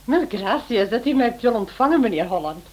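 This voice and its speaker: Spanish hostess, husky, higher-pitched, lively intonation, charming, insistent, radio drama. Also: female voice, fast, rough recording. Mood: gracious. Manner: effusive, persuasive, sprinkles in Spanish exclamations. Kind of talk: radio play acting.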